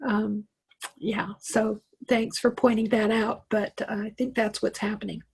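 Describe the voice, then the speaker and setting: A woman's voice: medium pitch, level tone, unhurried, vocal fry, breathy edge. A middle-aged woman from Wyoming, a computer coach chatting through a live online tech class, reassuring and full of fillers and restarts. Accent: Western American with a slight Southern tinge. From Wyoming, USA. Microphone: computer mic on a video call.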